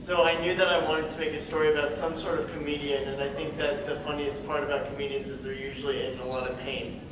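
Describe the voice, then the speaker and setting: A man's voice: a little high, little pitch movement, fast talker. A young adult man, a student writer, talks casually on a question-and-answer panel. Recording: echoey hall, distant mic, clear noise.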